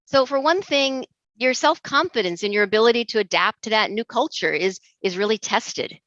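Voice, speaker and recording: A middle-aged woman from Egypt giving a measured, earnest recorded presentation as an intercultural trainer. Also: fairly high pitch, lively intonation, fairly bright tone, no echo. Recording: dull dry lo-fi recording.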